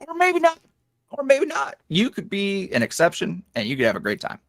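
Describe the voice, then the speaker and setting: A man, an ASMR roleplay creator, chatting casually as a guest in an interview, animated and self-deprecating with plenty of fillers. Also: high voice, brisk, dry recording, studio quality.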